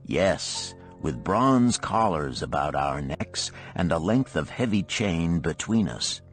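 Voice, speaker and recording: A man playing a dignified old merchant who tells his past in measured, formal, archaic speech, in an audiobook. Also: sits low, big pitch swings, faint music behind, heavily acted. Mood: measured recollection.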